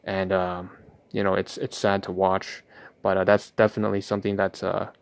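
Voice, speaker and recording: A man's voice: deep voice, flat delivery, moderate pace, gravelly, smooth, no echo. A man hosting a livestream, rambling through a narration of what he is doing in hesitant, wordy and slightly formal phrasing. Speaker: livestream host. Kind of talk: rambling vlog narration while sorting paperwork.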